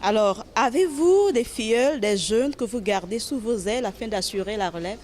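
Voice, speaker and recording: A woman's voice: a little high, expressive, moderate pace, darkish tone. A woman interviewer opening the segment and asking prepared questions in a formal, polished manner. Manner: formal, polished presenter delivery.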